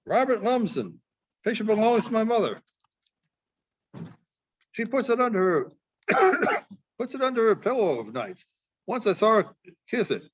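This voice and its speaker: A man acts out a brash young boy from a storybook, sulky and blunt with slangy, clipped talk. The voice is pitched high and jumps around freely. The sound is a little dull. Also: big pitch swings, unhurried, pauses often, husky, full character voice. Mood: matter-of-fact.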